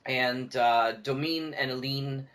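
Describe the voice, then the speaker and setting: A man's voice: medium pitch, steady tone, takes it slowly, fairly bright tone. A man with a Canadian accent telling stories casually as a podcast guest, acting out remembered remarks as he goes. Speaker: podcast guest, audio drama producer and writer.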